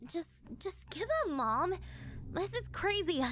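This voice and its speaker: Woman voicing a very high, incredulous teenage daughter. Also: animated, slow, slight background noise, heavily acted. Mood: stunned disbelief. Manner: incredulous, sharp, stammering. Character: exasperated, disbelieving teenage schoolgirl daughter. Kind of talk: ASMR roleplay acting.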